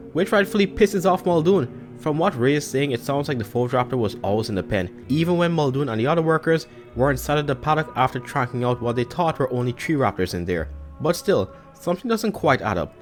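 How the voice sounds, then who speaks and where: A man's voice: medium pitch, big pitch swings, brisk. A man giving casual, analytical fan commentary as a video host. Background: background music.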